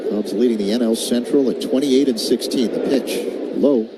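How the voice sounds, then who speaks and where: Baseball radio announcer over loud stadium noise. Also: male voice, medium pitch, normal speed. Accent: American. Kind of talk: live radio sports commentary. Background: loud ballpark ambience.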